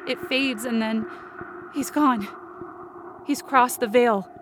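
A woman's voice: on the high side, slow pace, background noise. A woman playing a frightened but determined young woman, telling a supernatural ordeal in tense, breathless first-person audio fiction. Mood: fearful awe. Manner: tense, breathless, intense present-tense storytelling. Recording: music bed under the voice. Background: dramatic music.

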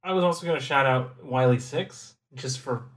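A young man co-hosting a podcast, talking about a game in a relaxed, thoughtful way with wry humor. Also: medium pitch, normal speed. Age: young adult. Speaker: podcast co-host. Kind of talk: casual game discussion.

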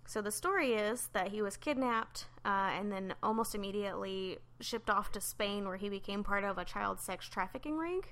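A woman's voice: mid-range voice, normal speed, few pauses, a bit bright. A woman co-hosting a podcast, telling a true crime story in a loose, chatty way full of "um" and "like". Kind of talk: casual storytelling chat.

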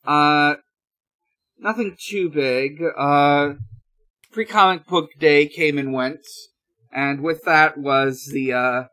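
A man hosting a podcast, chatting casually through news in a halting, hesitant way with drawn-out pauses. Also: on the high side, bright, forceful tone. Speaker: podcast host.

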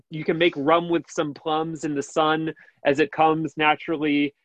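A man with a high, nasal voice hosting a radio show, chatting casually and rambling with lots of fillers. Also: level tone, a little slow, a bit bright, no echo. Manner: rambling, many fillers and restarts, laughs easily. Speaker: radio host. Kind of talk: casual interview chat.

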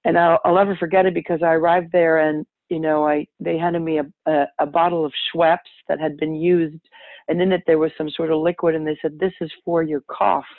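A woman's voice: low-pitched, level tone, a little fast, no echo. A woman from Paris with French as her first language, telling her personal story conversationally as an interview guest. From born and raised in Paris, France, to American parents. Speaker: interview guest, health advocate. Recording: muffled, band-limited remote line.

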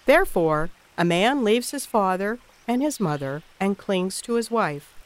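A woman's voice: medium pitch, lively intonation, a little slow. A woman giving scripted narration of a Bible story as the narrator of a cast production.

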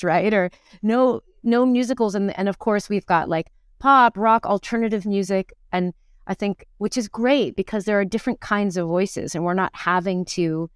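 A woman's voice: medium pitch, clear, resonant tone, dry recording, clean studio sound. A woman talking casually and reflectively as an interview guest, often thinking aloud in broken-off phrases. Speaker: interview guest, stage actor.

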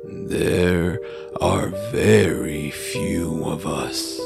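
A huge, gentle creature speaks in a very deep, creaking voice, slow and mournful. It sounds like a dying giant in a family audio drama, with music playing behind it. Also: male voice, fairly even intonation, full character voice. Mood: grave, weary.

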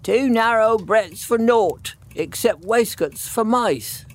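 A man's voice: sits high, takes it slowly, voice pushed up. A man voicing a poor, worn little old tailor who mutters earnestly in a storybook reading. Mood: muttering, absorbed. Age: older.

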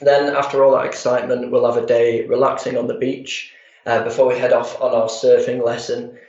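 A man with a Yorkshire accent describes a trip in a steady, even voice with few rises and falls. His voice carries a roomy echo. The line sounds dull. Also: mid-range voice, a little fast. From Harrogate, Yorkshire, England. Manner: steady, descriptive, some fillers. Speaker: tour manager guest. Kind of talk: casual radio interview.

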